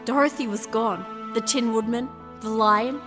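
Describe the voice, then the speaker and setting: A woman's voice: on the high side, measured pace, natural voice. A woman playing an earnest, burdened young princess in a fantasy audio drama, both speaking and narrating. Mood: sombre narration. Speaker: character and narrator. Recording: loud music behind.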